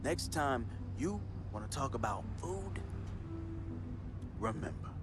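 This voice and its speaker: An actor playing a volatile, resentful young New hustler in a dramatic video game cutscene, talking streetwise slang and taunting. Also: male voice, mid-range voice, very animated, takes it slowly. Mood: furious. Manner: streetwise slang, taunting, swinging from chummy to explosive. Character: volatile, resentful, fast-talking young hustler, best friend of a basketball star. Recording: loud music behind the voice.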